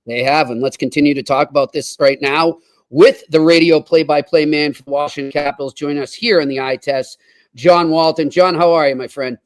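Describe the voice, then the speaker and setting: A man's voice: on the high side, fairly even intonation, a little fast. A man hosting a sports podcast in a casual, colloquial talk-show way. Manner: colloquial, informal. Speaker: podcast host.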